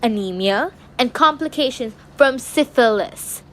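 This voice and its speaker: A woman's voice: on the high side, expressive, measured pace, fairly bright tone. A teenage girl playing a blunt, cynical young factory worker in a radio drama, dismissive and matter-of-fact. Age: teenage high school student.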